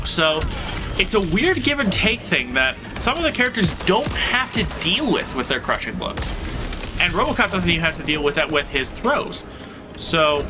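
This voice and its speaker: A man with a slightly stuffy nose, hosting a tutorial and talking through fighting game moves in a casual, analytical way. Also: a little high. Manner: analytical, conversational, thinking aloud. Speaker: video game guide host. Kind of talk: gameplay tutorial commentary.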